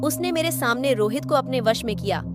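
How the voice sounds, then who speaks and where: A woman's voice: high-pitched, expressive, fairly quick. A woman playing a brave, worried young village woman in a cartoon story, earnest and dramatic. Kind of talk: Hindi cartoon story acting. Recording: faint music behind.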